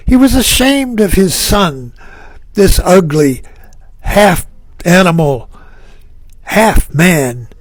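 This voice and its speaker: A man giving a slow, reflective spiritual talk, musing aloud and repeating phrases for emphasis. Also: high-pitched, darkish tone, no echo. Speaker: spiritual speaker. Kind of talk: reflective spoken talk on myth.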